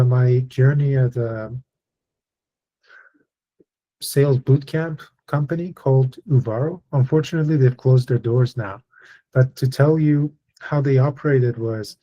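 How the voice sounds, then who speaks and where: A man from Iran in his thirties, guest on an online session, telling his story in a measured, thoughtful way. His voice is mellow and warm, sitting at a middle pitch, and he pauses often on "uh" and "um". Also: low-quality recording. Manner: measured, thoughtful, frequent uh and um fillers. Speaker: guest speaker. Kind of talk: personal story in a webinar talk.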